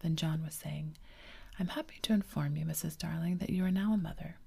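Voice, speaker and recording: Woman narrator, whispered ASMR storybook, low flat breathy voice. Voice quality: whispering throughout.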